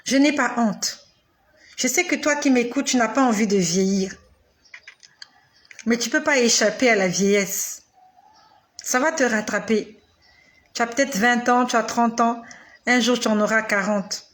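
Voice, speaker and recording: A woman in her forties preaching directly to the listener. Her voice sits a little high, and she speaks slowly and emphatically. She leans on repetition and dramatic pauses to drive each point home. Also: a bit bright. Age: 40s. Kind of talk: sermon addressed to the listener.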